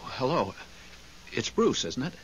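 An actor playing a naive, sentimental newly dead husband's ghost in a radio drama, earnest and polite. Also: male voice, mid-range voice, sing-song, measured pace, lo-fi sound, music in the background. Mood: earnest, puzzled. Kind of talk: 1970s radio drama acting. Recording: hissy old radio recording.

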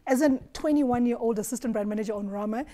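A woman from Eswatini on a studio panel, telling stories in an animated way and laughing often, recorded on a lapel mic. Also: on the high side, fast. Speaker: marketing executive panelist. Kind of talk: roundtable discussion. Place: dark studio set at a round table.

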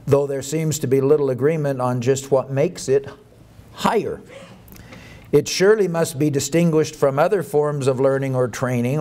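A middle-aged man reads a formal academic lecture aloud in a measured, deliberate way at a lectern microphone. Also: mid-range voice, dark tone. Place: indoor conference hall, at a lectern. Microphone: boom microphone on the lectern.

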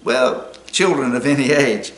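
An older man with an Appalachian accent, reading a children's storybook aloud in an unhurried, folksy way. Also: medium pitch, rough recording. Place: small book-lined room indoors.

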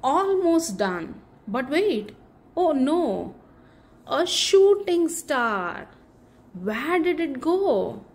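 A woman reading a children's picture book aloud as a bedtime story, in an expressive, deliberate storytelling manner with drawn-out phrases. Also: sits high.

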